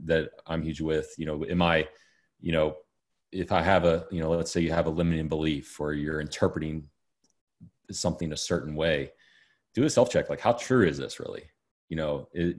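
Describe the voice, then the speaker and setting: A man with a very deep, low voice talking casually as a podcast guest. He hesitates often and keeps saying "you know" while he searches for words. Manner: hesitant, many "you know" fillers and restarts. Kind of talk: casual conversation.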